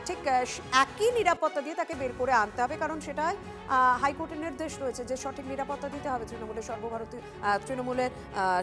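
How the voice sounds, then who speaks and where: High-pitched, fast-talking West Bengal woman anchor. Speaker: news anchor. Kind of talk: live breaking news anchoring, questioning reporters.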